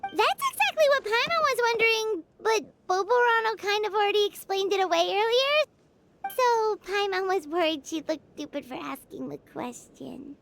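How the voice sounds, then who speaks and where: Tiny squeaky pixie girl. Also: animated, moderate pace, vocal fry, noisy background, heavily acted. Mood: puzzled, earnest. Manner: refers to self in third person, childlike. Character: tiny excitable pixie girl, naive and self-conscious. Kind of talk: video game voice acting.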